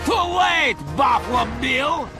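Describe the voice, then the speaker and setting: A man playing a boastful military villain in a video game, shouting grandly. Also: extremely high pitch, expressive, slow pace, heavily acted. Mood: gleeful rage. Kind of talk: video game cutscene acting. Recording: loud music behind the voice.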